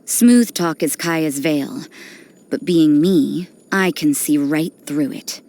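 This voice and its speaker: An actress voicing an aloof, cynical young nun in a video game, speaking in a cool, dry, detached and unhurried manner. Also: female voice, low voice, dark tone. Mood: wry, knowing. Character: aloof, cynical, worldly nun who does dirty work. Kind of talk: video game character voice lines. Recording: background music under the voice.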